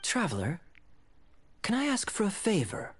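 An actor playing a wry young cavalry captain in a video game, smooth and polished in manner. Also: male voice, high-pitched, wildly swooping pitch, normal speed, soft background music. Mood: suave, wry.

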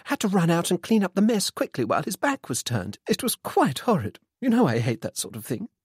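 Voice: medium pitch, wildly swooping pitch, fairly quick, breathy edge, dry recording, studio quality, voice pushed up, full character voice. A reader voicing a frantic, imperious middle-aged English mother with an upper-class accent, hissing urgently. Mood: hushed, urgent.

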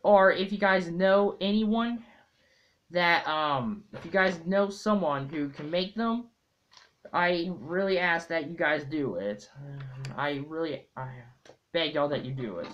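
Young guy doing a casual vlog, slow and hesitant, kinda muffled lo-fi tablet sound. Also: fairly high pitch, animated. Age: young adult. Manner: halting, hesitant. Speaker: amateur fandub video maker. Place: small room at home. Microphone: tablet built-in mic at arm's length. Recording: dull lo-fi tablet mic.

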